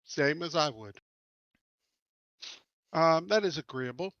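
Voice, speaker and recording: A man's voice: fairly high pitch, lively intonation, slow pace, no echo, lo-fi sound. A man chatting casually as a player in a tabletop roleplaying game, informally speaking for his own character.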